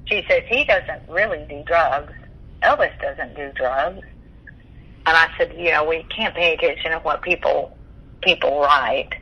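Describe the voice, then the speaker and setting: A woman's voice: low-pitched, unhurried, husky, highs cut off. An older woman, reminiscing in a talkative, rambling, conversational way over the telephone. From Texas, United States. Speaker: interview guest sharing memories.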